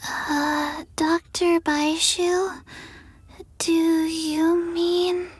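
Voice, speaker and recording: A woman playing a meek young child assistant in a video game, hesitant and drawn-out. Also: very high voice, little pitch movement, soft background music, full character voice. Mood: timid, concerned.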